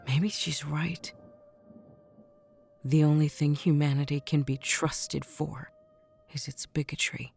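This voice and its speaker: A woman's voice: low voice, level tone, slow pace, creaky, pauses often, a little mellow, husky, faint music behind, exaggerated voice. A woman playing a bitter, wounded human outcast in a science fiction audio drama, brooding and confessional. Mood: disillusioned.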